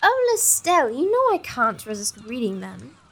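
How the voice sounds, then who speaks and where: A woman's voice: high-pitched, huge pitch swings, moderate pace, creaky, full character voice. A woman playing a fretful, theatrical young lady in a gothic comedy audio drama, with old-fashioned, sighing diction. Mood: dreamy. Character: restless, fretful young gothic-novel-loving lady companion.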